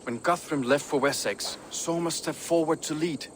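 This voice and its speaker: An actor playing a proud, ambitious but loyal Viking shipbuilder, speaking in a measured, earnest way for a video game. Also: male voice, on the high side, a little hiss or hum, lightly acted. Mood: frank, proud. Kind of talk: dramatic video game cutscene dialogue.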